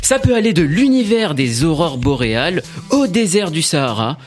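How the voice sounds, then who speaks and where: Male radio tech columnist, high-pitched and lively, chatty and joking, faint music underneath. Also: normal speed. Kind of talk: radio tech segment with banter.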